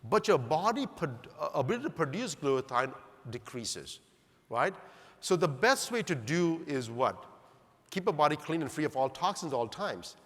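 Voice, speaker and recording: A middle-aged man from Zambia gives an animated conference talk and keeps asking the crowd rhetorical questions. His voice sits slightly high for a man. The sound has a long hall reverb. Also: normal speed. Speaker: speaker at a longevity conference.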